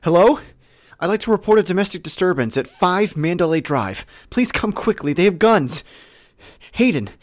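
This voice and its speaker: A man's voice: sits high, sing-song, normal speed. An actor playing a fearful, rattled man who talks anxiously and urgently in an audio drama.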